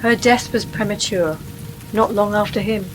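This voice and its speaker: A woman's voice: mid-range voice, moderate pace, over music, close to an everyday voice, rough recording. A woman acting in a radio play as a wistful, reproachful old friend, plain-spoken and probing. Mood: subdued, probing.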